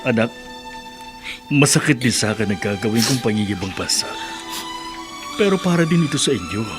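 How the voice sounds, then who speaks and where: A man plays a gentle but determined father in a radio drama. He speaks earnestly and pleadingly with an animated pitch, over faint music. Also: normal speed, natural voice. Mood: earnest, reassuring.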